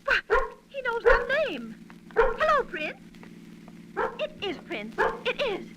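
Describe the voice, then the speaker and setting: An actress playing a chatty, kindly, excitable woman in a 1930s radio drama. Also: very high-pitched, slow pace, full character voice. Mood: astonished, tender. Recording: noisy 1930s radio recording.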